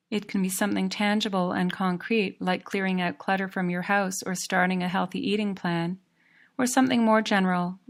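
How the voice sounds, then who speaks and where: A woman narrating a guided yoga relaxation in a calm, measured, soothing manner. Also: fairly low pitch.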